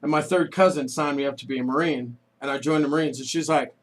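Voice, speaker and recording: A man's voice: on the high side, fairly even intonation, fast. A man in his late forties from New York, hosting a radio interview, chatty and joking as he asks eager questions. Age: 40s. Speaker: radio host. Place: radio studio. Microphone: studio microphone.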